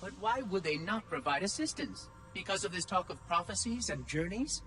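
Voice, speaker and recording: A man's voice: sits high, animated, normal speed, sharp tone. A man playing a fussy, officious ancient artificial intelligence in a game cutscene, speaking precisely over music. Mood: puzzled, prim. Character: fussy, prim, ancient machine oracle, guardian of an installation.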